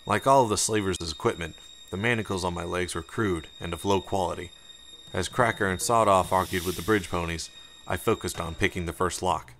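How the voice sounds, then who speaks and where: A man's voice: deep voice, fairly quick, a bit bright, slight background noise. A man reading scripted story narration as an audiobook narrator, with music behind him. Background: music under the narration.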